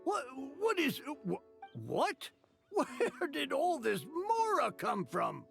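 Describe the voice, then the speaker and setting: An actor playing a flustered, excitable struggling writer in a video game, with a creaky voice. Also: male voice, extremely high pitch, takes it slowly, soft background music, heavily acted. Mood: astonished.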